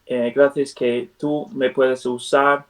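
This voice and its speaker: Man leading a prayer, halting. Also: mid-range voice, flat delivery. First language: not Spanish. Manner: hesitant, many fillers and restarts. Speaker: Bible study leader. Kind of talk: prayer and Bible study on a video call. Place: at home on a video call. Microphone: computer mic on a video call. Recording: video call audio from far off the mic.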